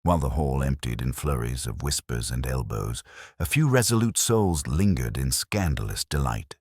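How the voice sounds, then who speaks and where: Very deep, resonant man narrating a mystery. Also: expressive, a little mellow, slightly rough, no room sound, clean studio sound. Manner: wry, literary, measured storytelling. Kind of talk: scripted dramatic story narration.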